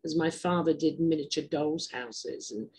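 A woman hosting an interview show, chatty and digressive, quick to laugh. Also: low voice, a little mellow, slight background noise. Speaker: host. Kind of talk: casual interview chat.

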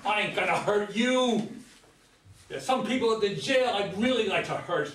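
A volatile drifter fresh out of jail, acted by a man, high and wildly swooping in pitch. He talks loud and folksy with an erratic, menacing edge, as in a play reading picked up from across an echoey room. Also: animated, moderate pace, slight background noise. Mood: needling, menacing. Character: loud, excitable, volatile ex-convict hitchhiker man. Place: meeting room, around a table. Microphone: far camera. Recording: distant mic in echoey room.

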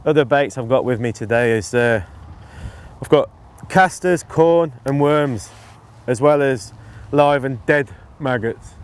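A middle-aged man giving relaxed fishing instruction outdoors, in unhurried, halting phrases as he works. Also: medium pitch, clean tone. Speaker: angling instructor, presenter. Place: outdoors on an open lakeside bank.

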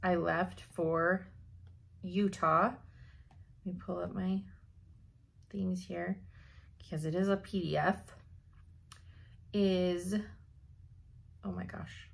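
A young woman giving a chatty cross-stitch update from her home craft room, her voice slightly hoarse, laughing often as she thinks aloud. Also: lowish voice, fairly even intonation, slow, long pauses. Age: young adult. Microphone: camera in front at desk.

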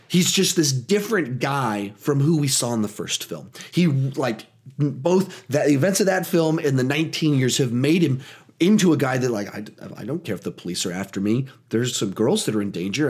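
A man in his thirties reviewing a film in a small home studio, animated and a little hoarse from a cold. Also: medium pitch. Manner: animated, gestures a lot, talks in quick bursts. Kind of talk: energetic spoiler movie review.

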